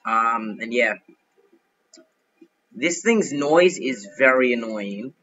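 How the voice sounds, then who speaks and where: A young man giving chatty, excitable commentary while playing a game, thinking out loud as he goes. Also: mid-range voice, slow pace, muffled recording. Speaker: gaming youtuber. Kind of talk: casual gameplay commentary.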